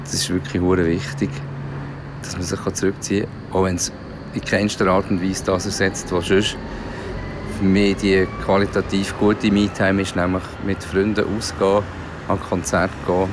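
A Swiss German man talks in a slightly low voice. His delivery is casual and reflective, like an unscripted audio diary. Ambient noise is plainly audible behind him. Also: flat delivery, moderate pace. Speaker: podcast host and parent.

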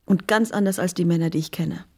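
An actress playing a flirtatious married woman in a radio crime drama, coaxing and teasing, at times dropping into a breathy whisper. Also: lowish voice, fast talker, mellow, no echo, clean studio sound. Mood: seductive.

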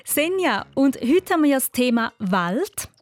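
A woman's voice: high-pitched, big pitch swings, normal speed. A woman with a Swiss German accent, chatting encouragingly as the host of a children's radio quiz.

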